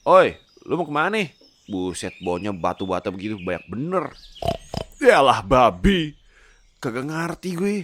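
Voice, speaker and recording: A man voicing a brash, blunt pig character in a bedtime story, loud and exclaiming in Jakarta street slang. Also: medium pitch, big pitch swings, normal speed, long pauses, slight background noise, voice pushed up. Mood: brash, exasperated.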